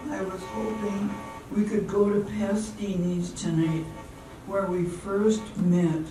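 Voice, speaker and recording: A woman's voice: mid-range voice, little pitch movement, slow pace, mellow, natural voice. A woman playing a sweet, wistful stage singer in an amateur radio drama, distant in a very echoey hall. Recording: very echoey, distant, music behind.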